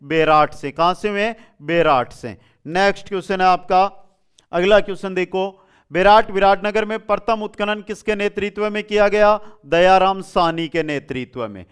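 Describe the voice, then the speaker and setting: A man's voice: high-pitched, normal speed, smooth. A man teaching a live quiz lesson, reading questions and answers aloud, repeating them and prodding students to respond. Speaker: online exam-coaching teacher.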